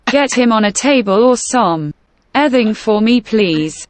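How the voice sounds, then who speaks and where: A woman playing a tabletop game, calmly narrating what her character says and does. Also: fairly high pitch, a little slow, no echo. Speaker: player.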